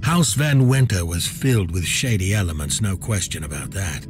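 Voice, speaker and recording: Roguish, hard-drinking old space captain, jovial and theatrical, very animated pitch, clear music underneath. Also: male voice, moderate pace. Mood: earnest. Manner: jovial, theatrical, mock-courteous. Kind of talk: sci-fi video game voice acting.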